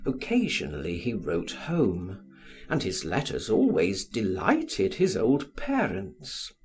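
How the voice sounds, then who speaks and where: Male audiobook reader, literary narration, slow measured pace, very wide expressive intonation, theatrical. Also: lowish voice. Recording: music behind the voice. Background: music.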